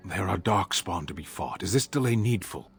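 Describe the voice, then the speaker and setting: An actor playing a huge, blunt Qunari warrior who speaks tersely and formally in a fantasy game. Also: male voice, deep voice, lively intonation, moderate pace, creaky, soft background music. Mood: deadpan. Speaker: companion character in a fantasy game.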